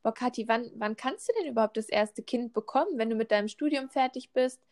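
Chatty young woman, fairly high voice. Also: brisk. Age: 20s. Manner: chatty, many fillers and restarts. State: pregnant. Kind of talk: casual chat.

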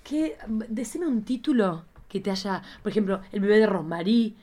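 A woman with a Rioplatense Argentine accent co-hosting a podcast, chatting casually and candidly in an expressive, slangy way. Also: mid-range voice, moderate pace. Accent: Rioplatense Argentine Spanish. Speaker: podcast co-host. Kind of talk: casual, candid chat about films.

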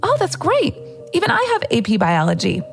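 Woman voicing a high, bubbly, eager teenage schoolgirl. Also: a little fast, gravelly, faint music behind, heavily acted. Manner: bubbly, excitable, insistent. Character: cheerful, eager, chatty teenage schoolgirl roommate.

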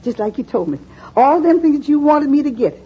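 An actress playing a helpful, chatty older landlady with a folksy, husky way of speaking, in 1940s radio acting. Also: high-pitched, lively intonation, fairly quick, a little hiss or hum. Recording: dull old radio recording.

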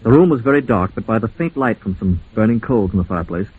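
A man playing a smooth, deceitful businessman in an old-time radio mystery, on a muffled vintage recording. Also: lowish voice, animated, fast. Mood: grave, confiding. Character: smooth deceitful businessman framing his partner's wife.

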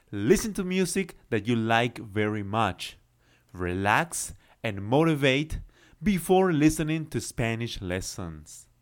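A man teaches in an unhurried, deliberate way and reads each sentence first in Spanish and then in English. His pitch rises and falls a great deal as he encourages the listener and gives numbered tips. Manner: slow, earnest and encouraging, with emphatic numbered tips. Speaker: language teacher and podcast host. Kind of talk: bilingual podcast lesson, reading Spanish then English.

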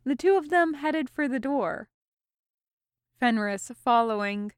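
A woman's voice: high-pitched, lively intonation, slow, clear, resonant tone, dry recording, clean studio sound. A woman reading fiction aloud as a scripted narration. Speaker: amateur audiobook reader.

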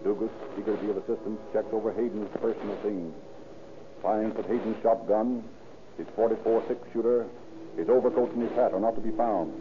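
A man's voice: on the low side, fairly even intonation, brisk, background noise. A man narrating a scripted 1930s radio crime drama in a formal, dramatic manner. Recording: tinny old radio recording. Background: music.

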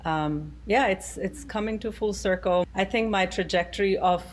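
A woman's voice: medium pitch, normal speed, a little hiss or hum. A middle-aged woman from India, answering interview questions thoughtfully over a video call with frequent ums. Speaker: guest.

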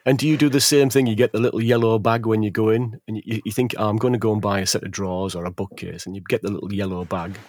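A man hosting a podcast chat, relaxed and hesitant with plenty of fillers. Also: on the low side, fast talker. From England. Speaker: podcast host. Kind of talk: casual chat. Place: at home near some furniture.

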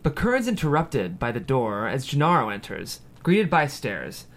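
A man's voice: medium pitch, big pitch swings, normal speed, a bit bright, slight background noise. A man narrating a screenplay, reading stage directions aloud at a table read.

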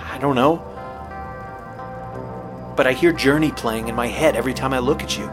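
A man's voice: mid-range voice, normal speed, music in the background, close to an everyday voice. A man playing an earnest, stubborn young rookie radio DJ in an audio horror drama, sometimes hesitant and pleading. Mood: earnest, determined. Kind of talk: dramatic audio horror acting, naturalistic dialogue.

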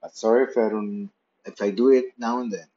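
A man's voice: fairly low pitch, fairly even intonation, brisk, warm tone, dull audio. A man narrating a playful, rambling story in the first person from his pet's point of view. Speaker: pet owner narrating.

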